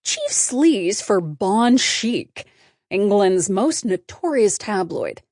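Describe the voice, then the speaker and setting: A woman voicing a sharp, controlling female publicist with a snappy, commanding manner in a storybook. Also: medium pitch, sing-song, takes it slowly, dry recording, clean studio sound. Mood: scornful, snapping.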